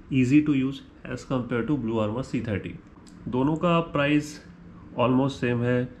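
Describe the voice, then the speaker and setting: A man's voice: medium pitch, a little mellow. A man with an Indian accent giving an unscripted, explanatory comparison review, switching between Hindi and English and checking in with the listener as he goes. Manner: ends points with a checking "right?". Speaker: motorcycle gear reviewer. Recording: echoey room with faint background noise.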